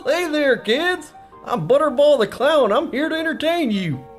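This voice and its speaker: A creepily over-jolly television clown, speaks in a high sing-song. The delivery is slow and giggly, like an old children's show, with faint music behind. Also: female voice, very animated, exaggerated voice. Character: round, over-jolly, sinister TV clown entertainer.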